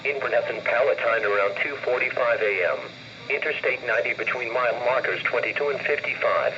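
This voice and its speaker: Male synthetic weather-radio announcer, mid pitch, formal and impersonal, reading warnings through a dull, noisy broadcast. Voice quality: synthetic text-to-speech voice. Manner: formal, impersonal, evenly measured. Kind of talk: scripted emergency weather warning readout.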